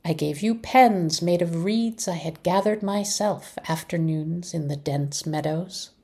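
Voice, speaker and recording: A woman reading a poem aloud in measured, deliberate phrases with pauses. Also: on the low side, dark tone, breathy edge. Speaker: community poetry reader. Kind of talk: poetry reading.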